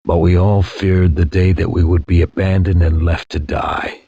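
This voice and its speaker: A war-weary veteran soldier narrating a video game. His voice is deep and husky, and he recounts the war gravely and reflectively. The sound is somewhat muffled. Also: fairly even intonation, moderate pace, dark tone, no room sound, exaggerated voice. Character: somber veteran soldier recalling a war on Mars. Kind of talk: scripted dramatic narration.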